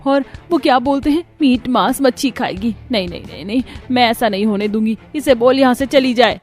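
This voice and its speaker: A woman's voice: a little high, fairly quick, darkish tone, soft background music, lightly acted. A woman voicing a harsh, indignant middle-aged mother-in-law in a cartoon story. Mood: outraged.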